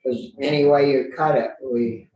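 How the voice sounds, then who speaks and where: A man's voice: medium pitch, steady tone, normal speed, darkish tone. A man speaking hesitantly with many fillers, testifying before a committee. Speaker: advocate asking for public support. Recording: distant, echoey, muffled room audio.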